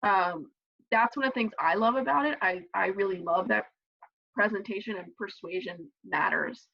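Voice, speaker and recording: A young woman in college talking casually about mock trial on a video call, animated and playful. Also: mid-range voice, moderate pace, vocal fry, dry recording. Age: young adult. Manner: animated, acts out quotes in funny voices. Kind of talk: casual Zoom chat. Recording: muffled video call audio.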